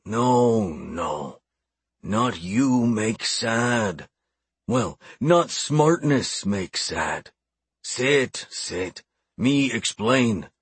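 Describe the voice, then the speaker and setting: A man voicing a blunt, gruff older orc shaman in an audiobook, speaking in clipped, broken grammar. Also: fairly low pitch, animated, extremely slow, no room sound, heavily acted. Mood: gentle, soothing. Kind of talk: audiobook character voice.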